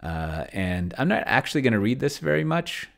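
A man's voice: medium pitch, big pitch swings, moderate pace, vocal fry, a bit bright. A middle-aged man thinking aloud in an unscripted walkthrough, rambling and trailing off mid-thought, speaking close to a desk microphone. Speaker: video host. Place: indoor room at home, desk setup. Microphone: desk microphone close to the mouth.

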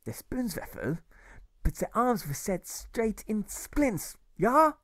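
A man voicing a kindly older German shopkeeper with a German accent, courteous and gentle, often adding a questioning yeah. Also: high voice, very animated, takes it slowly, no echo, clean studio sound, pitched above the performer's own voice, full character voice. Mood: earnest.

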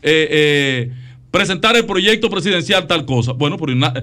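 A middle-aged Dominican man with a high voice makes his case on a talk show panel. He is insistent and repeats phrases to drive each point home, speaking into a studio mic. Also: expressive, normal speed, bright, forceful tone. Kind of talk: panel debate. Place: radio studio. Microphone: studio microphone.

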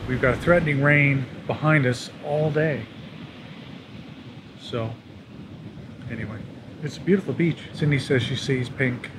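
A man's voice: medium pitch, unhurried, long pauses, background noise. A middle-aged man chatting casually outdoors as a travel vlogger, with a dry, teasing manner. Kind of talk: casual vlog chat. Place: outdoors on open beach and boat.

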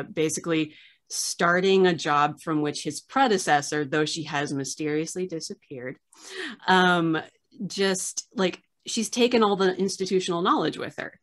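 A woman in her thirties, an archivist hosting a casual interview over a video call from a small room, talking in a chatty way with many fillers and restarts. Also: low voice. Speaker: host, archivist. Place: small room with framed pictures on wall.

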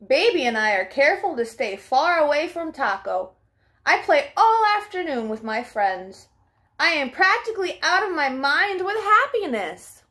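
A young woman reading a children's storybook aloud in an expressive, deliberate, child-directed way. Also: high voice. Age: young adult. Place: small furnished living room at home.

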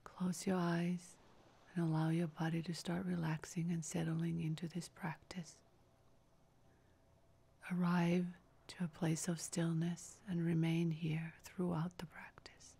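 A woman leading a scripted guided relaxation meditation in a soft, breathy, near-whispered voice, giving calm, soothing, measured instructions. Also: on the low side. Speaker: yoga nidra meditation guide.

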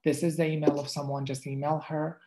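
A man's voice: a little high, little pitch movement, a little fast, mellow. A man whose first language is Arabic, a dermatologist giving a casual online lecture over slides, talking conversationally with many restarts and fillers. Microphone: video call.

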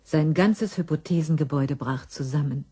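An actress as the sober, measured narrator of a German crime radio drama. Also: female voice, deep voice, dark tone, husky.

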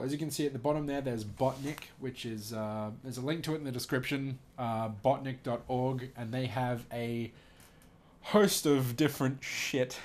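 A man's voice: mid-range voice, darkish tone, slight background noise. A man casually reading aloud and commenting as host, amused and giggly, swearing now and then.

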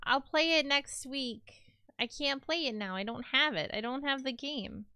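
Bright, high-voiced woman streamer. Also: normal speed, clear, resonant tone, dry recording. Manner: chatty, rambling, frequent fillers and restarts. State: slightly stuffy from a cold. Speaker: livestreamer. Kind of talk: casual chat with viewers.

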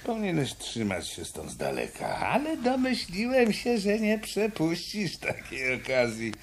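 A man's voice: fairly high pitch, wildly swooping pitch, measured pace, a little hiss or hum, heavily acted. An actor playing a cold adult male gangster and blackmailer in a radio drama, speaking in curt, mocking threats. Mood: menacing. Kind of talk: 1990s radio drama acting.

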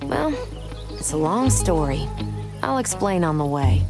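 A tough young runaway bride in a Western game. Her voice is low for a woman, brisk and sharp-tongued, sure of herself. Music plays under the dialogue. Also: very animated, full character voice. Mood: composed, polite. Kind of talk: video game cutscene acting.

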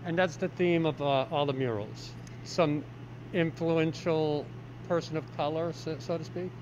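A man's voice: a little high, a little slow. A man hosting a casual on-the-street interview, asking loose, conversational questions. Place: outdoors on a city street. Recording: dull, noisy street audio.

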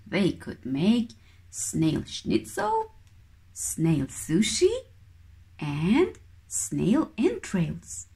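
Very slow, expressive New woman reading. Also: lowish voice, gravelly. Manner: expressive, deliberate phrasing. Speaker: children's book reader. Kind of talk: storybook read-aloud for young children.

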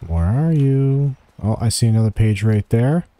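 Mellow man narrating a game walkthrough. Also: mid-range voice, lively intonation, moderate pace, no room sound. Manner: thinking aloud, talking to game characters. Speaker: gaming guide host. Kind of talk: casual video game walkthrough commentary. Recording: music behind the voice.

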